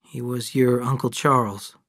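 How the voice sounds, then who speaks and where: A man voicing a grave, widowed father of twins who speaks in a measured, earnest way. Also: medium pitch, steady tone, a bit bright, dry recording, studio quality. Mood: solemn.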